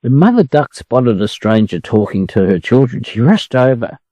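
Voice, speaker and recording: An Australian man narrates a children's story for language learners. His voice is soft and mellow, with animated intonation. The recording is dry and close. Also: medium pitch, normal speed, no room sound.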